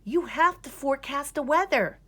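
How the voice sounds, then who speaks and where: Woman as an eager, bossy girl groundhog, animated. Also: sits high, normal speed, pitched above the performer's own voice. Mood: urgent, nagging. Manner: urgent, emphatic.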